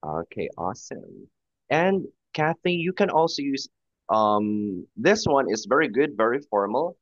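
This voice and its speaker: A man's voice: mid-range voice, sing-song, a little slow, dry recording, highs cut off. Patient male English tutor on a call. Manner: encouraging, patient, gives example phrases. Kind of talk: one-on-one conversation lesson.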